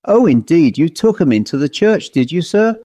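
A man's voice: a little high, big pitch swings, normal speed, mellow, dry recording, pitched above the performer's own voice. A man voicing an old country servant who speaks in broad, ungrammatical rural dialect. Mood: deferential. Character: old rustic male servant telling a grim tale.

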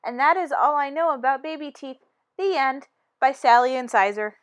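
A woman's voice: high-pitched, normal speed, close to an everyday voice. A woman voicing a smug, bratty young girl tooth who recites a school report in a storybook. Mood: smug, reciting.

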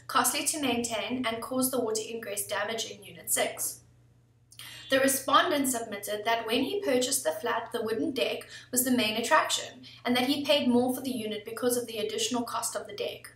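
A woman in her thirties presenting a scripted legal explainer in a steady, formal reading manner, recorded at a desk webcam in an office. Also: a little high, distant mic. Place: office room indoors. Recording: echoey room, voice somewhat off-mic.

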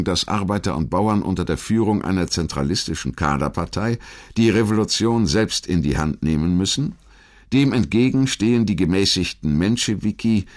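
Ein Mann, der als Erzähler einen vorbereiteten historischen Text vorträgt. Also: male voice, low voice. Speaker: documentary narrator. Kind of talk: scripted historical narration.

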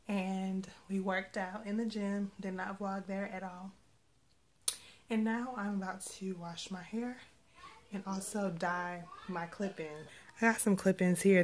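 A woman in her twenties with a hoarse voice she is losing, chatting casually and rambling with lots of fillers as she vlogs to a phone camera at arm's length. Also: fairly low pitch. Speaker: vlogger. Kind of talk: casual vlog chat. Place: parked car, then a bedroom.

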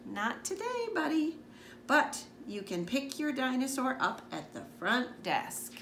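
A woman's voice: high voice, very animated, slow, fairly bright tone, roomy sound, a little hiss or hum, voice pushed up, heavily acted. A woman reading a picture book aloud, voicing a friendly, chirpy nurse.

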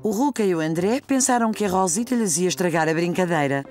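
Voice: medium pitch, expressive, fast talker, a little mellow, faint music behind, natural voice. A narrator with a European Portuguese accent reading scripted story narration for a children's cartoon.

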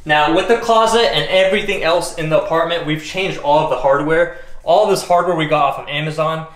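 A man's voice: a little high, a little fast, a bit bright. A man in his twenties chatting casually to camera as he gives a home tour, recorded indoors in a small apartment. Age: 20s. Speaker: vlogger giving a home renovation tour. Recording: echoey, roomy indoor sound.

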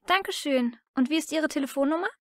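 A woman playing a brisk, efficient office receptionist in a scripted learner dialogue. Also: sits high, fairly bright tone, dry recording, studio quality. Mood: businesslike.

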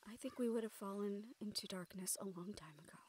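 A woman's voice: medium pitch, fairly quick, darkish tone, slightly rough, close to an everyday voice. A woman acting a caring, encouraging siren-like mentor in an intimate audio roleplay, with a tender, earnest manner. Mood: tender, reassuring.

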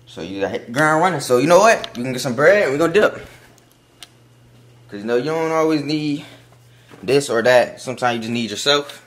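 A man's voice: mid-range voice, lively intonation, fairly quick, long pauses, fairly bright tone. A young man, talking casually to his phone camera outdoors in a rambling, slangy, encouraging way. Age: young adult. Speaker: vlogger. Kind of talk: casual vlog talk to camera.

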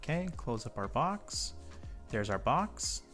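A man's voice: medium pitch, sing-song, slow, a bit bright, faint music behind. Stuffed-up man chatting through a build. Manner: chatty, rambling asides while working. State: stuffed up from a cold. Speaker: model-building channel host. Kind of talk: casual build tutorial commentary. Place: indoor tabletop workbench.

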